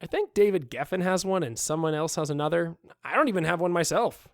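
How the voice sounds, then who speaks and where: A man's voice: on the high side, lively intonation, fast talker, no echo, studio quality. A man playing a candid film director in re-enacted interview quotes, conversational and emphatic. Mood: earnest, explaining. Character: candid film director recalling his work, resigned and frank.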